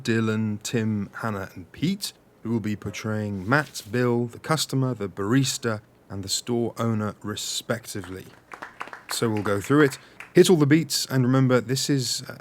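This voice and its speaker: An actor playing an earnest director at a table read, narrating a script in an audio comedy. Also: male voice, on the low side, natural voice. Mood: self-serious.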